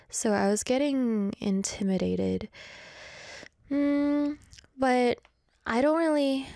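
Young woman DJ from Canada, Korean first language, chatty rambling host, mellow voice, dry close mic. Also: on the high side, dry recording. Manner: rambling, chatty, self-deprecating. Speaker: radio DJ. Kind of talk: casual chat. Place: indoors in South Korea.

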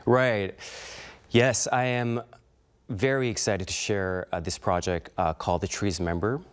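A man's voice: lowish voice, steady tone, a little slow, clean tone. A man with a slight Korean accent, an actor speaking as an interview guest, thoughtful and hesitant with many fillers. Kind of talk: interview conversation.

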